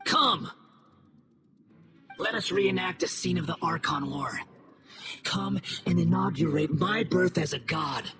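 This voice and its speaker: A man's voice: fairly high pitch, slow, gravelly, long pauses, bright, forceful tone, heavily acted. A man playing an arrogant, scornful newborn god in a video game, theatrical and grandiose, with a sneering manner. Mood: scornful, triumphant. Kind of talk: English video game cutscene voice acting. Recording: music playing behind the voice.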